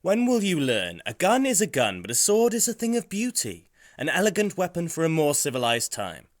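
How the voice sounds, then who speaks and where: A man's voice: fairly high pitch, wildly swooping pitch, a little fast. Man acting a theatrical, cynical mercenary, swooping delivery. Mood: wry, instructive. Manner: dramatic, sardonic speechifying. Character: cynical male mercenary swordsman, world-weary, theatrical, self-regarding. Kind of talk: audio drama acting with narration.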